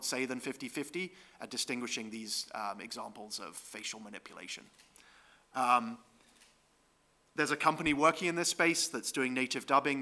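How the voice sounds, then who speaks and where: Bright-voiced man presenting at a conference. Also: mid-range voice. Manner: explanatory, with frequent ums and tag questions. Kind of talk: conference talk with slides.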